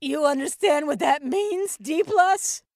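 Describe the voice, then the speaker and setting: A woman's voice: high voice, slow pace, darkish tone, no echo, clean studio sound. A woman playing a melodramatic, profane, panicked and indignant woman in an audio fiction drama. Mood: strained agony. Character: Gloria, foul-mouthed, panicked, screaming woman under demonic attack.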